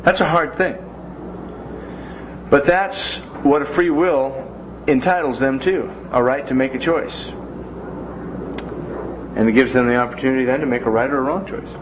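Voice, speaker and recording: A man's voice: medium pitch, moderate pace, long pauses. A man leads a Bible lesson in a classroom in a folksy manner, with joking asides. The recording is dull and lo-fi, and faint hiss runs beneath his voice. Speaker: Bible teacher.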